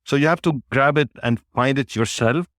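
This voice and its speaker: A man of Lebanese origin, a native Arabic speaker, answering interview questions in a measured way with frequent pauses. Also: mid-range voice, fairly bright tone, no echo, clean studio sound. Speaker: guest, business executive.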